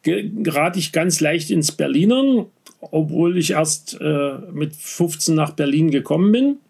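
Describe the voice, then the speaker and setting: A man from Saxony with a slight Saxon accent, talking in a casual conversation, halting and full of restarts and fillers. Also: fairly high pitch, lively intonation, vocal fry, darkish tone, husky. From Saxony, Vogtland. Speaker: interviewee.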